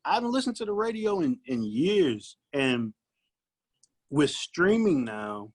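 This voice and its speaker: A man's voice: a little high, big pitch swings, measured pace, breathy edge. Emphatic man in a casual podcast chat. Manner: opinionated, emphatic. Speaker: guest recording artist. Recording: dull lo-fi audio.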